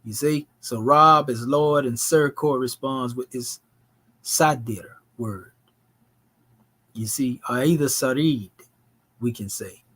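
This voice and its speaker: A man, teaching a language class in a relaxed, folksy way. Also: medium pitch, slow, pauses often, husky. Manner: relaxed, folksy, dialect grammar.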